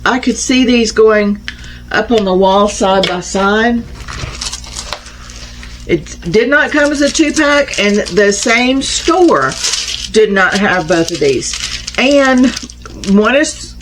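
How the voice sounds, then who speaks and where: A woman hosting a casual crafting unboxing vlog, chatty and homey, slightly raspy from a starting cold. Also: mid-range voice, lively intonation, takes it slowly, pauses often, background noise. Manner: chatty, homey, addresses viewers as y'all. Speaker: crafting channel host.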